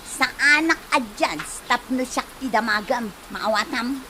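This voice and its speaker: A woman's voice: on the high side, unhurried, sharp tone, a little hiss or hum. A woman acting an envious, snappish village wife in an Ilocano comedy drama, scolding and sneering with an Ilocano accent. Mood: scornful.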